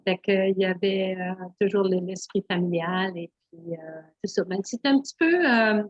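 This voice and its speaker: A middle-aged Franco-Ontarian woman tells her story as an interview guest, searching for words, full of small restarts and soft fillers. The sound is dull and muffled, a lo-fi recording with a dry, close feel. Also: medium pitch, normal speed, no echo. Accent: Franco-Ontarian French. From Northern Ontario, Canada. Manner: many fillers and restarts, simple and candid. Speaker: guest, naturopath. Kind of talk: hesitant conversational storytelling.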